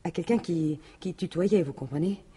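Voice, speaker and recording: Radio mystery widow: French, dark and raspy tone, plain-spoken, jealous, guarded, stumbling over her phrases. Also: on the low side. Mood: confiding. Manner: halting, colloquial, defensive. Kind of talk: 1950s-style French radio mystery acting.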